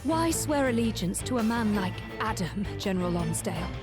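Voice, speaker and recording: An actress playing an earnest, resolute young princess in a video game, speaking formally and persuasively. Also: female voice, mid-range voice, lively intonation, normal speed, close to an everyday voice. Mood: challenging. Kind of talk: video game cutscene acting. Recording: loud music behind the voice. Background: loud music with plucked strings.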